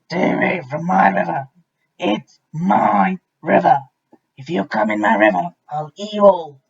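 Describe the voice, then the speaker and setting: Woman voicing a loud, snappy big crocodile. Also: medium pitch, vocal fry, slightly rough, highs cut off, full character voice. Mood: bossy, threatening. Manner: loud, drawn-out, emphatic delivery. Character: big selfish snappy male crocodile, later grateful.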